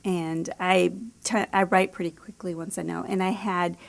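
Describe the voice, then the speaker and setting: A woman's voice: lowish voice, little pitch movement, normal speed, vocal fry. A woman speaking casually as an author being interviewed, often chuckling as she talks. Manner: often chuckles while talking.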